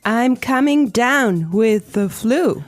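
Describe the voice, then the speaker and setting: A woman co-hosting an English lesson podcast, chatting, explaining phrases and repeating them slowly for learners. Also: fairly high pitch, animated, faint music behind. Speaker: podcast co-host.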